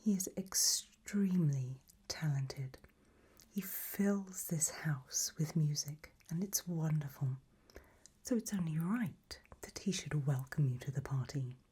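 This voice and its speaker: A woman's voice: deep voice, takes it slowly, slightly rough, heavily acted. A woman playing an elegant, macabre gothic matriarch in an ASMR roleplay, whispering breathily in a languid, gracious manner. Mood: gracious, fond. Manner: languid, gracious, soft-spoken.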